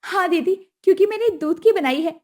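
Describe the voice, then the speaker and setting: A woman's voice: extremely high pitch, a little fast, darkish tone, pitched above the performer's own voice. A woman voicing a young bride who speaks in an earnest, polite but firm way.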